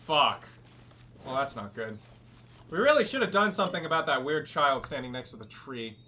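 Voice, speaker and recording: A man's voice: medium pitch, very animated, normal speed, pushed and bright. A man giving sarcastic, sweary, irreverent commentary while live-streaming a video game.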